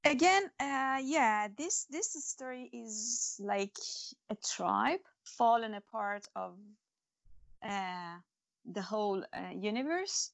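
A woman's voice: a little high, lively intonation, slow, gravelly. A woman speaking English as a second language, an author talking earnestly about faith and her stories in an interview, with many restarts and fillers. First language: not English. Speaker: author and guest. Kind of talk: spiritual interview talk.

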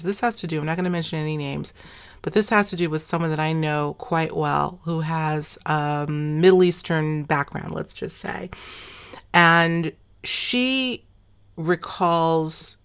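A woman hosting an interview, hoarse at the end of a long day, who probes her guest and restates what she hears. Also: sits low. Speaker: podcast host. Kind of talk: conversational interview.

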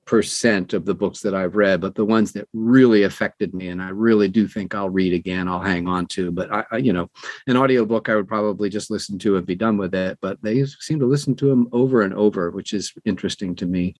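An older man with a fairly low voice tells anecdotes as an interview guest. The sound is dry and close, with little room in it. He speaks conversationally, as an audiobook narrator off duty. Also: fairly quick, a little mellow, no echo.

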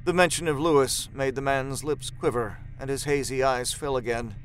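A man's voice: medium pitch, steady tone, normal speed, slight background noise, low-quality recording. A man narrating a horror story from a script.

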